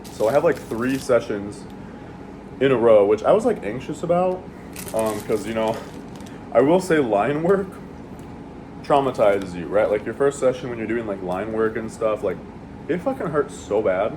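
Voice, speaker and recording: A man's voice: on the low side, normal speed. A man chatting casually while he eats, rambling and swearing freely, with lots of fillers. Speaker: vlogger eating on camera. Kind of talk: casual chat while eating. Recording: steady air conditioning hum.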